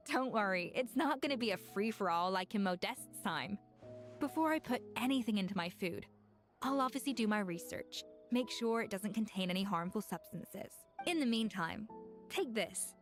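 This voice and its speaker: A woman's voice: medium pitch, animated, moderate pace, faint music behind, close to an everyday voice. An actress playing a confident, adventurous young female chef in a video game, speaking in an articulate, explanatory manner. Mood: confident, friendly. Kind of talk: video game dialogue acting.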